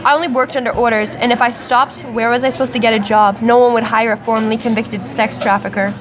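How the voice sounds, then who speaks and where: A woman's voice: fairly high pitch, steady tone, fast, a crowd in the background, lightly acted. A woman playing a self-justifying jailed mother in an amateur radio play. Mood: indignant.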